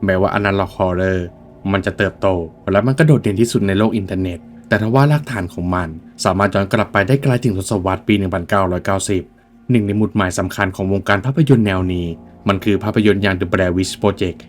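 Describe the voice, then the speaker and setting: A man's voice: low-pitched, fairly even intonation, brisk, soft background music. A man reading scripted film commentary as a video essay narrator, explaining in an emphatic storytelling manner.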